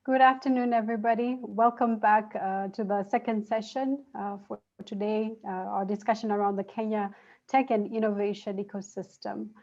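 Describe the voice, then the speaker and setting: Female panel moderator, mid-pitched voice, somewhat lo-fi video call audio. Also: steady tone, normal speed, darkish tone. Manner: uses many "uh" fillers. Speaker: panel host.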